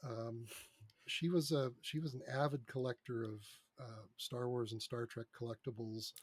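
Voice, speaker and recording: A mature man with a medium-pitched voice speaks as an interview guest. He tells stories in a conversational way, recorded close and dry over a remote podcast link. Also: fairly even intonation, normal speed, husky, no room sound. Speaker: podcast guest, screenwriter and radio drama producer. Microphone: close mic on a remote podcast recording.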